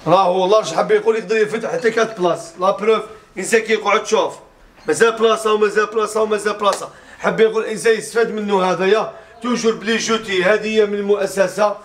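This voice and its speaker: A man in his thirties with an Algerian accent, mixing in French words as he presents a sofa set in a small furniture showroom with an upbeat, laughing sales pitch. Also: fairly even intonation, racing, a bit bright. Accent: Algerian Arabic with French mixed in. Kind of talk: casual product showcase video.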